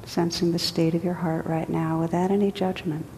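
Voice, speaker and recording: A woman leads a guided meditation in a soft-edged, mellow voice that stays very level. She speaks gently and takes her time, leaving space between short phrases. The sound is reverberant, like a large hall, with a faint rumbling noise behind her. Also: level tone, moderate pace. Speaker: meditation teacher.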